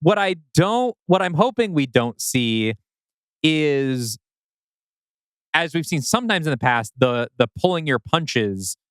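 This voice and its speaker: Man, podcast co-host, smooth clear voice, dry close sound, casual story critique. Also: on the high side, big pitch swings, slow, fairly bright tone, no echo.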